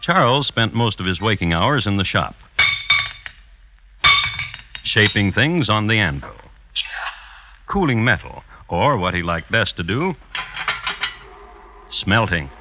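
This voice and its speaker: A man's voice: on the low side, very animated, a little slow, pauses often, very noisy. A man giving wry, mock-serious scripted narration for an old-time radio drama. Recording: dull vintage radio recording.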